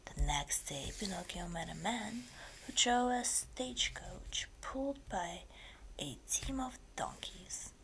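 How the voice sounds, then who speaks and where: A woman reading a fairytale as ASMR in a breathy whisper, slowly and deliberately. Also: on the low side, expressive, a bit bright, a little hiss or hum. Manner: slow, deliberate storytelling delivery. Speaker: ASMR creator and story reader.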